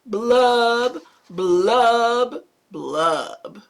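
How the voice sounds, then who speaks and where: Woman voicing a mopey storybook fish, chanted lines, slow and gravelly, swoops up and down. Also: expressive, exaggerated voice. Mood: glum, sing-song. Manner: sing-song chanted refrain, dragging low blubs. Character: gloomy male fish, glum and mopey.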